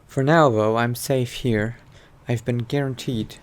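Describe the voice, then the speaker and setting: A man narrating his video game roleplay in character, thinking aloud with pauses. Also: medium pitch, fairly even intonation.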